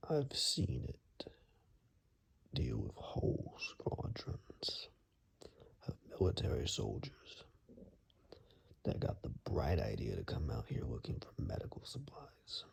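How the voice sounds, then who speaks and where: Casting: male actor as rugged, weary monster hunter for ASMR roleplay; extremely low, gravelly, breathy voice with heavy fry, sliding widely in pitch. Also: wildly swooping pitch, exaggerated voice. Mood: grave, warning. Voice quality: very deep, gravelly, breathy near-whisper. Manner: slow, grave, halting.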